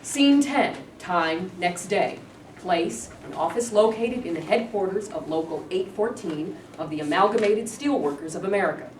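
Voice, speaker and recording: A woman's voice: lowish voice, animated, moderate pace, darkish tone. A woman reading stage directions as scripted narration for a play. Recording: echoey room, distant mic, clear noise.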